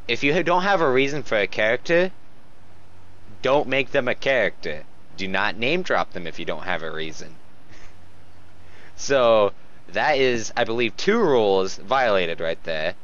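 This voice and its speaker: A man with a mid-pitched voice on a slightly muffled, lo-fi recording. He reads aloud casually for comedy and rambles off into sarcastic, sweary commentary. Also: a bit bright. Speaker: host reading fan fiction aloud with running commentary. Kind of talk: casual comedic read-aloud with mocking asides.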